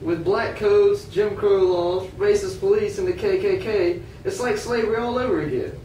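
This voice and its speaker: A man's voice: high-pitched, moderate pace, mellow, voice pushed up, lightly acted. A man voicing an earnest, defiant freed man in a puppet show, emphatic and indignant about equal rights. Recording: roomy, distant, noisy amateur recording.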